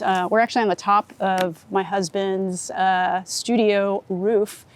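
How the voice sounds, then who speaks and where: A woman from Florida with an American accent, chatting casually as an interviewed photographer, talkative and quick to laugh. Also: mid-range voice, a little mellow. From United States, Florida. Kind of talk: casual chat while out and about. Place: on location in a city, rooftop, car and street. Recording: outdoor city and vehicle noise.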